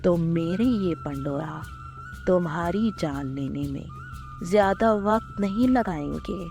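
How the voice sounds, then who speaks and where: A woman's voice: on the low side, lively intonation, takes it slowly, mellow. A woman voicing a cold, scheming villain commander who threatens people in a dramatised story. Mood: cold, menacing. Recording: prominent music under the voice.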